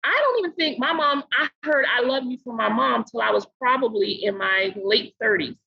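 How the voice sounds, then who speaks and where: A middle-aged woman from Barbados, a podcast guest, talking in an earnest, measured way and slowing down to stress her points. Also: fairly high pitch, animated, creaky, slightly rough, highs cut off. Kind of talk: casual interview talk.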